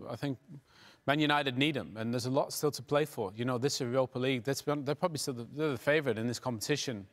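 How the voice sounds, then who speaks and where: A man in his forties talks through a football match as a studio pundit, rattling along at a brisk clip. His delivery is conversational and filled with little fillers. He sits on a lapel mic in a television studio. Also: mid-range voice. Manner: quick, conversational, filler-heavy. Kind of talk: post-match football analysis.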